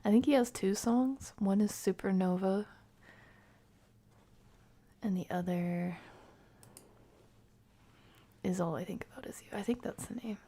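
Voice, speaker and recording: A woman hosting an ASMR stream, chatting casually with viewers in a soft, often whispered voice. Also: medium pitch, a little slow, long pauses. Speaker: ASMR livestream host.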